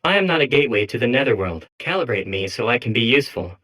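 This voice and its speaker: A man's voice: medium pitch, sing-song, fast, pushed and bright, poor audio. A man voicing a suspicious demonic machine core in a video game, speaking in formal, insistent, stilted announcements. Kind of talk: comedic video game character acting.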